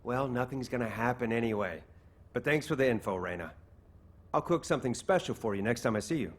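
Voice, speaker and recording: An actor playing a playful, easygoing young chef and fighter in a video game. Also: male voice, mid-range voice, lively intonation, fairly quick. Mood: joking, breezy. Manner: playful, breezy, teasing.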